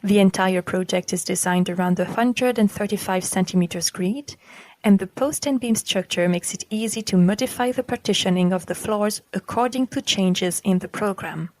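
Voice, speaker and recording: A woman presenting a podcast, reading a scripted text in a formal, measured manner. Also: medium pitch, darkish tone, dry recording, poor audio. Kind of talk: scripted narration.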